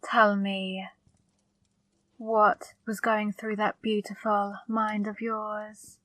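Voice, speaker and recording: A woman plays a flirty female demon in an intimate ASMR roleplay, speaking extremely slowly and close to the mic. Her delivery is even in pitch, coaxing and softly commanding, with a fond, possessive edge. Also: no room sound, low-quality recording. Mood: teasing, probing. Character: flirty female demon, seductive, controlling, fondly scolding. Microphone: close microphone.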